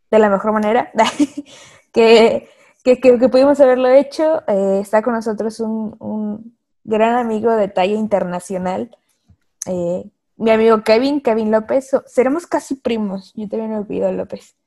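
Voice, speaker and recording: A woman's voice: a little high, dark tone. Chatty woman hosting a faith podcast. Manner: chatty, laughs often, many fillers. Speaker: podcast host. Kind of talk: casual faith podcast chat.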